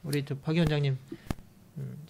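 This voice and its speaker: A middle-aged man hosting a casual podcast. He talks in a halting way with many fillers and restarts, reading out listener comments and news. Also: on the high side, little pitch movement, vocal fry, background noise. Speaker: podcast host.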